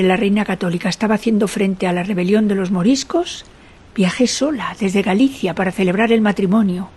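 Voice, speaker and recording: A woman's voice: medium pitch, darkish tone, slightly rough, natural voice. An actress playing a dignified, grieving queen who recalls her youth in a solemn, literary radio drama monologue.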